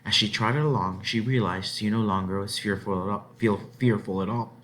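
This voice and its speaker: A man narrating a children's book aloud in an expressive storytelling style, with dramatic phrasing and drawn-out words. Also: fairly low pitch.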